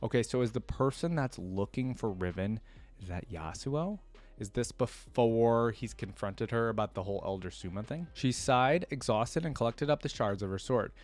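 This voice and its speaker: A man's voice: medium pitch, lively intonation, normal speed, darkish tone, soft background music. A man reading a fantasy story aloud and breaking off for casual, informal commentary. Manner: informal, tag questions like "right?". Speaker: reaction video host.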